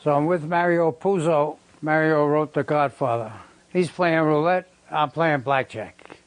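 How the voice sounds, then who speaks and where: A man's voice: on the high side, a little slow, no echo. An older man being interviewed, telling anecdotes in a plain-spoken, salty, conversational way. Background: guitar music.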